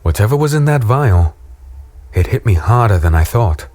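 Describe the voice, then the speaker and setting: A man playing a battered, weary human in a dark fantasy audio drama, wry and fatalistic as he tells his story. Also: lowish voice, animated, normal speed, slight background noise, close to an everyday voice. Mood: bewildered, wry.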